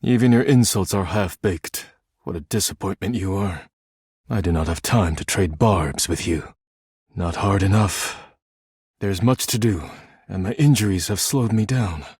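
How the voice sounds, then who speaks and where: An actor voicing a stern, proud, duty-bound living dishware caretaker in a video game, with a formal, clipped, solemn delivery and a husky voice. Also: male voice, low-pitched, a little slow, darkish tone, no echo, clean studio sound. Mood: hurt, cold. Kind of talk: video game character voice lines.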